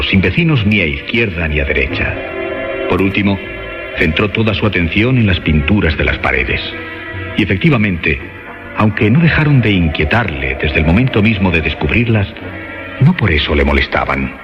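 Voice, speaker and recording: Deep male suspense radio narrator over music. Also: big pitch swings, moderate pace. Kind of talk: dramatic scripted narration for suspense radio theatre. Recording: dull band-limited radio sound with music. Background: clear music.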